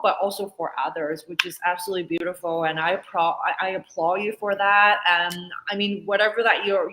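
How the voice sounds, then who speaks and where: A woman's voice: lowish voice, a bit bright, poor audio. A woman guest in an interview, speaking in an encouraging, reflective way. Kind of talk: interview conversation.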